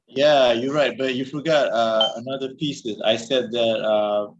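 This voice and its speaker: A man learning English on a video call, agreeable and halting. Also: medium pitch, steady tone. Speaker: English learner in an online class. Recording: dull lo-fi call audio.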